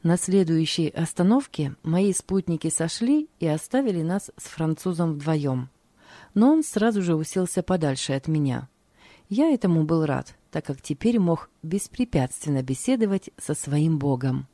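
Low, mellow woman reading calmly. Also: normal speed, dry recording. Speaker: narrator. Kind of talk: scripted reading of a religious diary.

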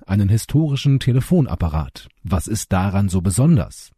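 A man with a husky voice reading scripted radio news as a presenter. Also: medium pitch, mellow, no echo, studio quality.

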